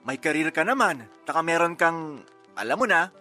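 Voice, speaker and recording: A man acting a grateful, gently teasing boyfriend in a Tagalog radio romance drama, affectionate and conversational. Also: a little high, animated, normal speed, faint music behind.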